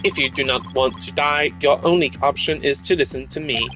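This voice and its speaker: A man voicing a sinister bomb technician in a video game, deliberate, smug and threatening, with music behind him. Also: medium pitch, close to an everyday voice. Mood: smug menace.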